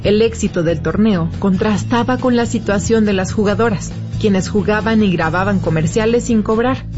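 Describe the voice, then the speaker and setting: A woman reading a scripted documentary narration in a measured, informative way. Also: mid-range voice, lo-fi sound, music in the background.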